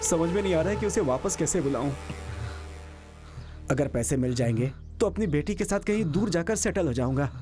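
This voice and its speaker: An actor playing a shrewd middle-aged uncle, coaxing and persuasive in dramatic dialogue. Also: male voice, fairly high pitch, animated, fairly quick, close to an everyday voice. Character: shrewd older uncle persuading a younger accomplice. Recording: music behind dialogue.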